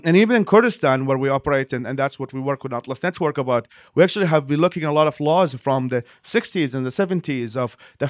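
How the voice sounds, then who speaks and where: A man from Iraq speaks as an in an interview. He talks quickly and at length, reworking his sentences as he explains. The sound is close and dry, with no room around it. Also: on the high side, no echo. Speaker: interview guest, nonprofit leader.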